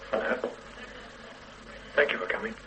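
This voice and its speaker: A man playing an older father on the run in a radio drama, soft and halting as he pleads with his grown daughter. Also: a little hiss or hum, low-quality recording. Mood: wistful.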